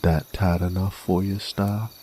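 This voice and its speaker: A man playing a sardonic, menacing werewolf bounty hunter in a Western audio drama, with a folksy American Western drawl and a gravelly, unhurried delivery. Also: sits low, level tone, dark tone, slight background noise. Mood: smug, controlled. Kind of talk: Western audio roleplay, intimate dramatic monologue. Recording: faint outdoor night ambience with crickets. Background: crickets and insects.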